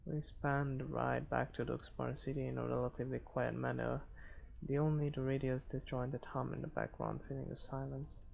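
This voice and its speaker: Very creaky man reading game narration aloud. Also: medium pitch, little pitch movement. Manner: halting reading with stumbles. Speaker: gaming video host. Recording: dull lo-fi microphone, faint noise.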